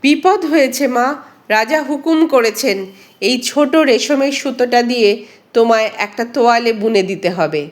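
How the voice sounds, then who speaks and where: A woman's voice: on the high side, normal speed, pitched above the performer's own voice, close to an everyday voice. A woman voicing a poor, meek peasant father who laments sorrowfully in a folk tale. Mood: worried.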